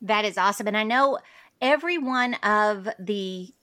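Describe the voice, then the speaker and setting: A woman's voice: medium pitch, normal speed, sharp tone, dry recording. A woman in her forties hosting a faith podcast, earnest and enthusiastic, with fillers and restarts as she talks. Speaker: podcast host. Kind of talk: conversational interview and sponsor read.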